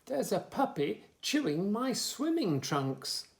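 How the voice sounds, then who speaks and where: A bossy, resourceful storybook frog, acted out by a male reader, critical and emphatic. The pitch leaps about in a very lively way over a creaky voice. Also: takes it slowly, full character voice.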